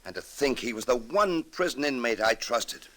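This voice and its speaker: A despairing ex-convict with a quick temper. The man's mid-pitched voice is forceful and swings widely, in old radio melodrama fashion. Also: sing-song. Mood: defeated, confessing. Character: anguished, hot-tempered ex-convict father, despairing.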